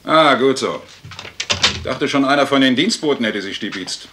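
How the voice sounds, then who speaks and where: A man acting a breezy, weary young manor gentleman in a radio mystery. His delivery swings freely, offhand and evasive with a teasing edge, over noticeable background noise. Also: medium pitch, animated, normal speed, natural voice.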